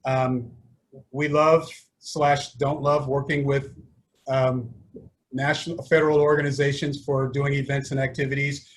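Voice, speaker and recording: A man moderating a panel discussion, asking questions in a halting way with many pauses. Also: mid-range voice, flat delivery, poor audio. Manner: halting, many fillers and restarts.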